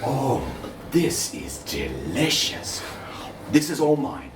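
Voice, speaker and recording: A man's voice: mid-range voice, sing-song, slow, voice pushed up. A man voicing a hungry, self-important father who blusters pompously. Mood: greedy pompous. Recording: echoey room, distant mic, background noise.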